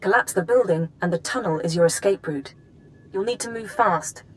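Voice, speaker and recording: A focused female intelligence controller in a sci-fi game, voiced by a woman. She speaks at a medium pitch, laying out details precisely and evenly, with an easy familiarity. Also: moderate pace, natural voice, rough recording. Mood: focused, brisk. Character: businesslike female intelligence handler briefing an agent. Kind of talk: video game drama acting.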